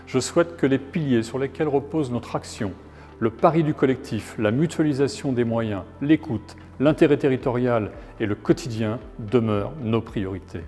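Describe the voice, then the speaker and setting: A man from France, a local elected official, reading a scripted New Year address in a formal, measured way. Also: medium pitch, mellow. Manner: formal, measured delivery of a prepared speech. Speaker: local elected official, head of an intercommunal council. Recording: long hall reverb, music underneath. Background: background music.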